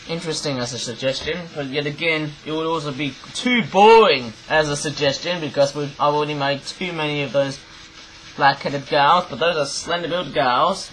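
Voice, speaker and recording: A young man talks into a webcam in his bedroom, rambling and restarting his sentences. His voice is a touch high and bright, though croaky today. A faint room hum sits behind him. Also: rough recording. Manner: rambling, repeats himself, many fillers and restarts. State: croaky voice, coughing. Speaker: vlogger reviewing craft toys. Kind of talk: casual rambling review. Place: cluttered bedroom, indoors. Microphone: webcam at desk.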